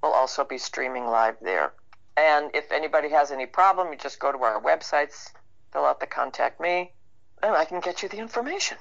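A woman's voice: sits low, expressive, fairly quick, vocal fry. A woman chatting casually and reflectively as an interview guest, informal and plain-spoken. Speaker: interview guest, actor and writer. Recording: dull lo-fi online-call sound.

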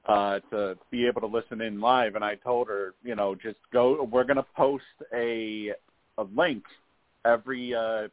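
A man hosting a casual call-in show, talking in a halting way with many restarts. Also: medium pitch, dry recording. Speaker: host. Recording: tinny phone line.

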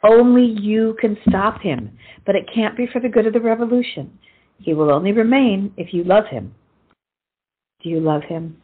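A woman's voice: mid-range voice, normal speed, breathy edge, narrow, tinny audio, natural voice. A woman playing a blunt, outspoken, loyal friend who scolds theatrically in a period stage comedy. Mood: firm, persuading.

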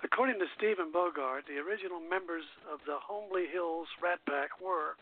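A man hosting a music history radio show, reminiscing and reading notes in a halting, casual way. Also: fairly high pitch. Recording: tinny, phone-like line.

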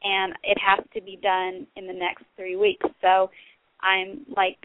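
A woman calling in to a radio program speaks in a middle register and explains her worries in halting phrases, full of "um" and "you know". Her voice comes over a dry, tinny phone line. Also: medium pitch, completely flat delivery, dry recording. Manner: hesitant, many "um"s and "you know"s. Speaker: caller. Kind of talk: phone call to a radio show.

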